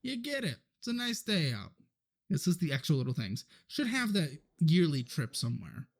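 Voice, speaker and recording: A man with a slightly high voice, chatting loosely on a podcast. He speaks as a guest, leaning on fillers as he explains his views on money. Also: sing-song, moderate pace, breathy edge. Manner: loose, filler-heavy talk. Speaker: podcast guest, financial show host. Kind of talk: podcast conversation.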